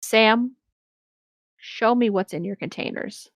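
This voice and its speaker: Unhurried, higher-voiced woman co-hosting. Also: dry recording, studio quality. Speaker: co-host reading story parts. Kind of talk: casual story reading with banter.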